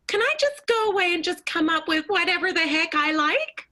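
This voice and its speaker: Bright, high, coy 1920s lady star. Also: expressive, full character voice. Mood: polite, hesitant. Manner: halting, tactful, coy. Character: politely hesitant, arch lady star of the 1920s. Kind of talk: improvised comic radio play.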